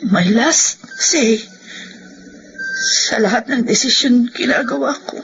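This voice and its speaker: Isang babaeng gumaganap sa dramang panradyo bilang balisa at mapagmahal na asawa't ina, nagsusumamo at sinisisi ang sarili. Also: female voice, on the high side, lively intonation, takes it slowly, creaky, a bit bright, heavily acted. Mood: dazed, helpless. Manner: pleading, tearful. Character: distraught, devoted, self-blaming wife and mother. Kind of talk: Filipino radio drama acting. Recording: dull lo-fi radio drama sound. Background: prominent dramatic music.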